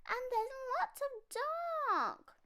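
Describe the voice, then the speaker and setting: Storybook bear cub, played by a woman: little, frightened, very high-pitched, whiny childlike delivery. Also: very animated, drawn-out words, sharp tone, exaggerated voice. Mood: doubtful. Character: small frightened young male bear cub.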